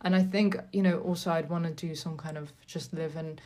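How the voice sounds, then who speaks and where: A woman's voice: sits low, steady tone, a little mellow. A young woman from Russia with a London accent, chatting casually with a friend and speaking with passion. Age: young adult. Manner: passionate, laughing, quick to tease. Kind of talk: casual chat.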